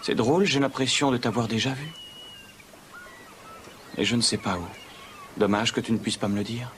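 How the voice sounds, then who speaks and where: Man acting a gentle young prince, noisy backdrop. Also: mid-range voice, normal speed, lightly acted. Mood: gentle, curious. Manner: gentle, courteous, sincere. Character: kind young prince, gentle, caring and dutiful. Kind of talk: dubbed animated fairy-tale acting.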